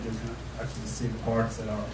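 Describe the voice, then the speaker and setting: A man in his thirties with an Israeli accent, doing a stand-up set and then answering questions in a halting, self-deprecating way, often repeating words. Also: lowish voice, monotone. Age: 30s. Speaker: stand-up comedian. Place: live comedy club stage. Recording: echoey club from far off with audience noise.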